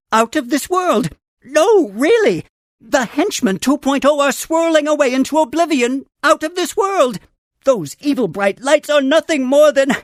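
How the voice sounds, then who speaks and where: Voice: sits high, dry recording, clean studio sound. An actor voicing a wise, earnest male creature mentor in a video game, with a formal, theatrical storytelling delivery. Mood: urgent, excited. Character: wise male Funkey mentor, grand, earnest tree guardian. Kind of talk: scripted video game character dialogue.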